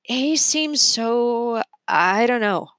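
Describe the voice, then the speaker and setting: A curious, earnest boy from a storybook adventure, read by a woman. His questioning lines come a little higher, in a dry recording. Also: expressive, drawn-out words, a bit bright, no echo, exaggerated voice. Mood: unsure.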